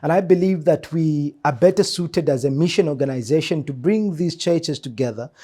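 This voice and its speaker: A man with a Zambian accent speaks in a slightly high, warm and relaxed voice. He answers like a church official in an interview, earnest and measured, leaning on repeated phrases to press his point. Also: husky. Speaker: church mission secretary.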